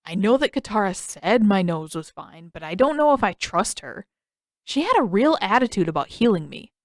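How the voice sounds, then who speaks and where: A woman's voice: mid-range voice, big pitch swings, moderate pace, dry recording. A woman voicing a vain, defensive teenage boy in an audiobook story, casual and exasperated in manner. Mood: self-conscious, teasing.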